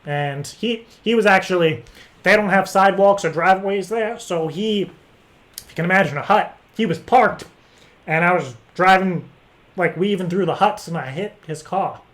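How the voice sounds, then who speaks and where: A man making a prank phone call, rambling in an earnest act with hesitant, halting phrasing. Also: high-pitched, fairly bright tone. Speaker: prank caller. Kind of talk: improvised phone prank chat.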